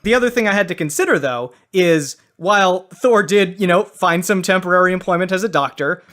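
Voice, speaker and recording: Higher-voiced man judging a debate. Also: fairly bright tone. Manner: deliberate, long-winded explanations. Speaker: judge on a debate comedy podcast. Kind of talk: casual, explanatory verdict.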